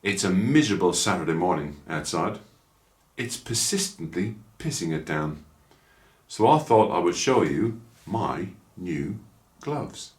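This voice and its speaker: Deep-voiced Irish man in an echoey room. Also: sing-song, unhurried, slight background noise. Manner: chatty, rambling, dry asides. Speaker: motorcycle vlogger. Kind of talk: casual gear review. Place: small room at home, indoors.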